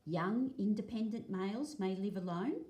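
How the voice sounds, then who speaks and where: A woman reading aloud as a teacher, explaining in a measured way, phrase by phrase. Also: mid-range voice, dark tone. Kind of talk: read-aloud lesson of a non-fiction picture book. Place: indoors at a table, filming a book.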